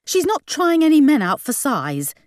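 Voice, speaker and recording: A woman voicing an outraged, scolding middle-aged mother in an audiobook, shrill and dramatic. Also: big pitch swings, moderate pace, darkish tone, no echo, clean studio sound. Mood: hissing, angry.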